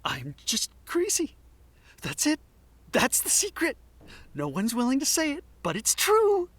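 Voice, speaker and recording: An actor playing an anxious, sarcastic anthropologist in an audio drama, with a nervy delivery. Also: male voice, extremely high pitch, huge pitch swings, unhurried, fairly bright tone, heavily acted. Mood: distraught. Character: anxious, sarcastic male anthropologist tormented by visions.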